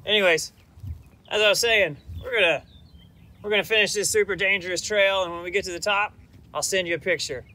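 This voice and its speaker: A man's voice: high-pitched, a little fast, bright, forceful tone. A man in his forties hosting a children's devotional outdoors in a casual, playful mock-serious way, speaking to a camera a few metres away. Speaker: kids' devotional host, coach. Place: outdoors by a rocky bank.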